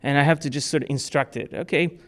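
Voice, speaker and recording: A man's voice: on the high side, steady tone, fast. A man from Portugal giving a talk to a club audience, answering questions in a casual way with many fillers and restarts. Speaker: speaker. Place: club meeting room.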